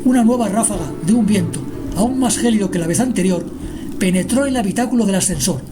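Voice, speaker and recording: A woman's voice: medium pitch, fast talker. A woman narrating a scripted radio drama as the storyteller. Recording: music behind the narration.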